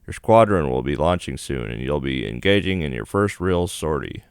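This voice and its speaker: A man's voice: rumbling low, sing-song, moderate pace, gravelly, smooth, no room sound, clean studio sound. A man reading the narration of a visual novel aloud as a gaming video host.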